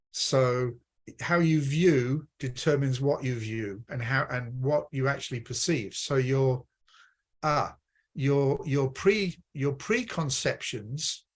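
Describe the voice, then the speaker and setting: A man from the Midlands of Britain talking through ideas on personal development in a measured, explanatory way, restarting phrases as he goes. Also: medium pitch. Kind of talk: reflective conversation on personal development.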